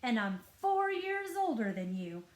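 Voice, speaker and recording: A woman's voice: fairly high pitch, big pitch swings, measured pace. A woman voicing a smug older brother, a rotten, teasing boy in a read-aloud storybook, with a sneering, taunting manner. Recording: roomy, echoing indoor sound.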